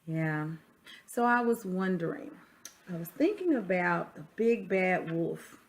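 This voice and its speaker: A woman's voice: lowish voice, expressive, unhurried. A woman teacher chatting to children during a read-aloud, often putting questions to her listeners. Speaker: sixth grade teacher reading aloud.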